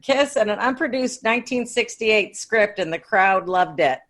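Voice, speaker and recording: A woman with a medium-pitched voice co-hosts a relaxed, conversational interview. She talks like an enthusiastic fan, sharing what she has found and enjoyed. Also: moderate pace. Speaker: podcast co-host. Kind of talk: casual interview chat.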